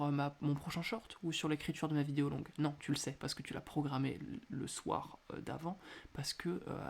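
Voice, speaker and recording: A man hosting a casual solo podcast, talking quietly at home with a stuffy nose from a cold, hesitating often with filler sounds. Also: fairly high pitch, vocal fry, slight background noise. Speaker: podcast host. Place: indoors at home at night.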